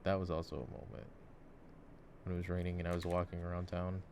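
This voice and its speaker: A man chatting reflectively and intimately in an ASMR gameplay style, thinking out loud. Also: sits low, little pitch movement, fast, gravelly. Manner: reflective, musing. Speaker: ASMR voice actor playing games. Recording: clicking mouse and keyboard noise. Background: mouse clicks and keyboard typing.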